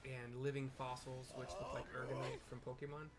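A middle-aged man casually describing what happens in a video game as he plays, in a halting, conversational way. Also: medium pitch, flat delivery, heavy background noise. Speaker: game streamer, narrator. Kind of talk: casual play-by-play with audio description of gameplay. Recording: loud game music behind voice. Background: loud game music.

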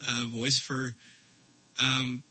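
A generated man's voice speaking slowly with a joking tone, played as a demo clip. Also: medium pitch, monotone, bright, forceful tone, slightly rough, no echo, heavily acted, low-quality recording.

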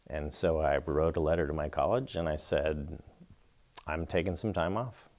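A middle-aged man seated in a small studio, answering interview questions in a thoughtful, measured way with pauses and restarts. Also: rumbling low, darkish tone. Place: indoor studio before a plain backdrop.

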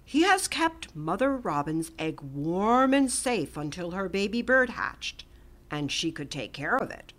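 A woman's voice: on the low side, very animated, measured pace, fairly bright tone. A woman reading the lines of a kind, grand male Easter Rabbit in a storybook. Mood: stately.

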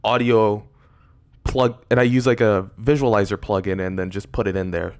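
A young man streaming from a desk mic, chatting casually and excitably with lots of fillers. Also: fairly low pitch, normal speed, clean tone. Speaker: streamer and audio editor. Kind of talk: casual reaction stream chat. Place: indoor room at desk in gaming chair. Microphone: desk microphone on arm.

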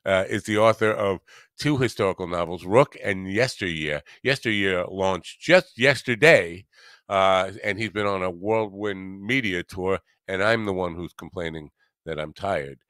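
An older man hosting a podcast interview in a casual, halting, self-deprecating way, speaking into a studio microphone. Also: low-pitched, a bit bright, no room sound. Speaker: podcast host. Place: indoor home studio. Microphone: close studio mic on boom arm, headset.

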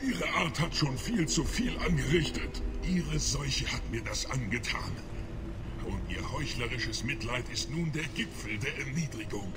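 A man's voice: medium pitch, lively intonation, a little slow, lightly acted. An actor playing a dying, bitter alien man in a video game, strained, spiteful and labored. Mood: spiteful, failing. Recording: dramatic game music behind.

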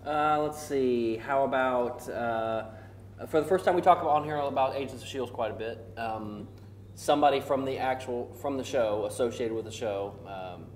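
A man in his forties with an American accent, talking casually and haltingly as he works through his points. His voice sits at a middle pitch in a roomy space with faint noise. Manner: hesitant, halting. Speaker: co-host of a geek culture show. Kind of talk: casual panel chat. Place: indoor room set, seated around a table.

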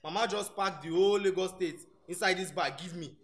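High-pitched young Nigerian male fresher, acted by a man. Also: fairly quick, noisy background, close to an everyday voice. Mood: casual, friendly. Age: young adult. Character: eager, timid young male university fresher. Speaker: actor. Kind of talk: radio drama acting in Nigerian Pidgin.

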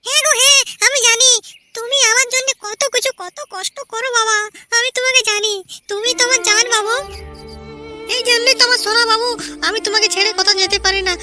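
A woman's voice: very high voice, fairly even intonation, fast talker, music in the background. A woman voicing a doting young village wife in a cartoon, sweet and affectionate.

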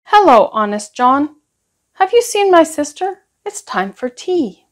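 Storybook small boy, earnest, high and lilting, performed by a woman. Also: big pitch swings, measured pace. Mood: earnest, questioning. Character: earnest little boy searching for his sister.

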